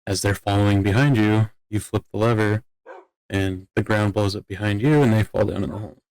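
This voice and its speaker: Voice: sits low, fairly even intonation, brisk, a little mellow, dry recording, low-quality recording. A person talking casually in a roleplay discussion, explaining things in a plain-spoken way. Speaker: role-player in a court meeting.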